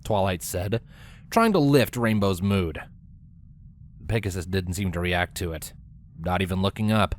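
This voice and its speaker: A man's voice: low voice, animated, unhurried, long pauses. A man reading scripted story narration as the narrator of a tale.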